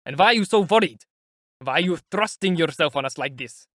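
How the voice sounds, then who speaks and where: A man's voice: a little high, animated, a little fast, fairly bright tone, no room sound, clean studio sound, voice pushed up. A man voicing a sly, sardonic middle-aged male investigator who talks in a chatty, teasing, politely mocking way. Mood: jovial. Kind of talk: audiobook character voice.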